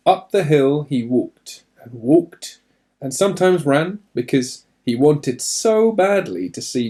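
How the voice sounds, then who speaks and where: Guy reading a kids story out loud, slow n expressive, really animated pitch. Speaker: reader of a children's story. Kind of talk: expressive children's storybook narration.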